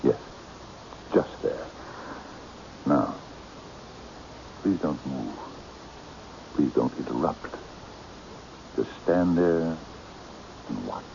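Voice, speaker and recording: Very deep, gravelly, mysterious man. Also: animated, very slow, pauses often, mellow, heavily acted. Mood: softly commanding. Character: mysterious eccentric man with cat, controlled, commanding, courtly. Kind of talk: old-time radio mystery acting. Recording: dull, noisy old radio recording.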